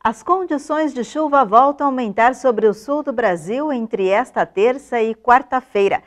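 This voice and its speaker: A woman presenting a weather forecast with smooth, scripted delivery in front of a map. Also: fairly high pitch, moderate pace, soft background music. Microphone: lapel mic.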